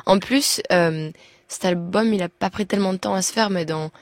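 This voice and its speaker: A woman's voice: fairly low pitch, normal speed, no room sound. A young woman from Belgium with a Belgian French accent, a singer as a radio interview guest, talking hesitantly with many restarts. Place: radio studio.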